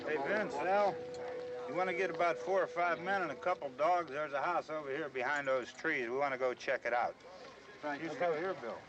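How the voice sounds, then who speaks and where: An actor playing a brisk, authoritative small-town lawman giving matter-of-fact orders in a 1960s horror film. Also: fairly high pitch, natural voice. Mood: commanding. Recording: dull, very noisy old film soundtrack.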